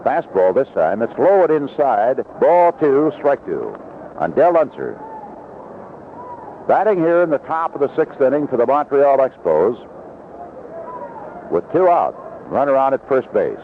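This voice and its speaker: Animated American baseball radio announcer, man. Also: mid-range voice, measured pace, pauses often. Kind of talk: live radio sports commentary. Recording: dull, noisy vintage radio broadcast.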